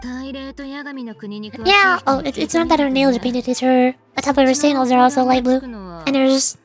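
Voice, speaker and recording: High-voiced chatty woman streamer over music. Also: a little slow. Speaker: VTuber game streamer. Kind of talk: livestream voice acting of game dialogue with casual commentary. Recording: music in the background. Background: game music.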